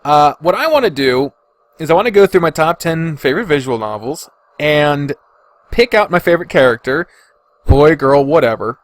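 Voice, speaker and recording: A man's voice: on the high side, lively intonation, normal speed, dry recording. A man hosting a podcast alone, talking casually and thinking aloud with informal, loose phrasing. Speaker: podcast host. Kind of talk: casual solo ranking commentary.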